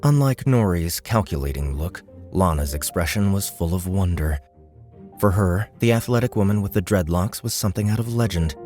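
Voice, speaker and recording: A man's voice: low-pitched, animated, normal speed, darkish tone, soft background music. A man narrating a fiction audiobook from a script.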